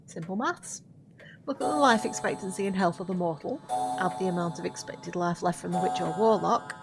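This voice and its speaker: An actress playing a centuries-old witch in an audio drama, gracious and quietly sorrowful, with formal, measured diction. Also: lowish voice, vocal fry. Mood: matter-of-fact. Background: music.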